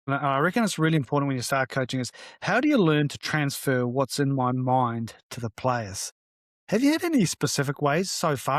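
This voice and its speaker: A man's voice: mid-range voice, no echo. Chatty male podcast host, dry. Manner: friendly, chatty questioner. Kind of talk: casual podcast interview.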